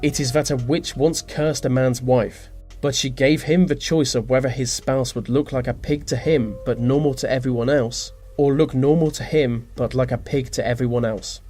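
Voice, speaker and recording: A man reading scripted narration for a list video, slipping into dry, deadpan asides. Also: mid-range voice, moderate pace, few pauses. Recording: music behind the voice. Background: background music.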